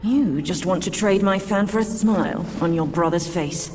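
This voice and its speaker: A woman playing a proud, weary demon queen and mother in a fantasy game, speaking in a measured, haughty and sardonic way. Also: lowish voice, a little mellow, slight background noise, natural voice. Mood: bitter, weary. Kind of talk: dramatic fantasy game acting. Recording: reverberant hall with music behind.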